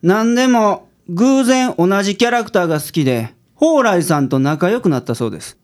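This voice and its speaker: A woman's voice: medium pitch, lively intonation, moderate pace, a little mellow, natural voice. A woman with a Kansai accent playing a shrewd, laid-back male detective boss in a radio drama. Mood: matter-of-fact. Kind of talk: scripted radio drama acting.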